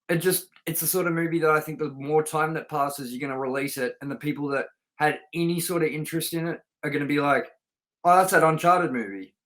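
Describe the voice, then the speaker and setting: Emphatic man with a slightly high voice. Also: a bit bright. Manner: emphatic, opinionated. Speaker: co-host of a film review show. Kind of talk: casual, sweary film review chat.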